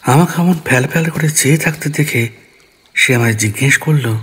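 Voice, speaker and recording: A man's voice: mid-range voice, a little fast, fairly bright tone, husky. A man narrating a ghost story in the first person, dramatically, acting out his own dialogue.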